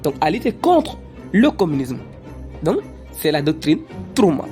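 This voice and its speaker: A didactic, easily exasperated cartoon professor voiced by an amateur actor, lecturing deliberately. The pitch swings in a lively way, and music plays faintly behind. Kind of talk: educational cartoon dialogue, lecturing.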